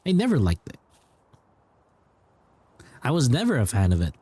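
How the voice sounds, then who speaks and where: A man's voice: medium pitch, wildly swooping pitch, normal speed, warm tone, husky, dry recording. Rambling male game streamer, dry close sound. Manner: rambling, repeats phrases, informal. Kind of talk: casual livestream chat and commentary.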